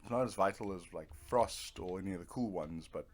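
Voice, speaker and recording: A man with a lowish voice talks through a video game as he plays it. His commentary is casual and offhand, often muttered as if to himself. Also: a little fast. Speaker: gamer playing and narrating a video game. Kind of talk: casual let's-play commentary.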